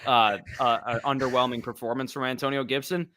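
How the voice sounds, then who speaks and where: A man's voice: mid-range voice, steady tone, brisk, sharp tone. A young man co-hosting a casual sports podcast, opinionated and rambling, often laughing. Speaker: podcast co-host.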